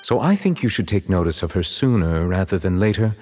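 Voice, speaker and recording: Stately male game judge: low, formal, reserved, expressive intonation, reverberant. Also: soft background music. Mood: measured, dry. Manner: courteous, measured, ornate formal wording. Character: dignified, formal, reserved male chief justice. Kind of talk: video game character acting.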